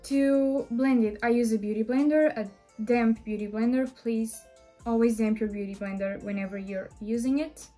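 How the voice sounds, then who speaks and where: Portuguese-accented woman in her twenties, fairly high but mellow voice, beauty vlogger talking to camera, faint music underneath. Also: fairly even intonation, moderate pace. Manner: friendly, chatty, explaining step by step. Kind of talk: makeup tutorial talk to camera. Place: small bedroom indoors.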